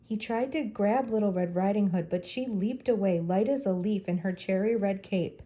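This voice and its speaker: A woman's voice: mid-range voice, moderate pace, lo-fi sound. An older woman reading a children's story aloud as a storyteller for young listeners.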